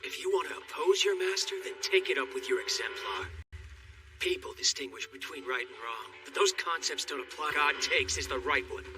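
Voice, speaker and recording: A man's voice: medium pitch, expressive, normal speed, distant mic, over music, close to an everyday voice. A man voicing a cool, scornful, combative god in an anime dub. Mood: cold, stern.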